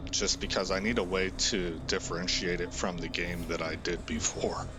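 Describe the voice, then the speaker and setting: A man's voice: low voice, fairly even intonation, moderate pace, heavy background noise. A man hosting a gaming channel, giving casual gameplay commentary. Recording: loud game music behind voice.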